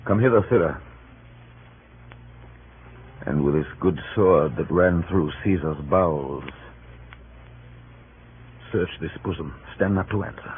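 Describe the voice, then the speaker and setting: A super deep slow roman commander, proud and doomed, theatrical old radio shakespeare, bit of hiss. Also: male voice. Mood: commanding, resolved. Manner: slow, weighty, theatrical delivery. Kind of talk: 1930s radio Shakespeare acting. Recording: noisy, tinny 1938 radio broadcast.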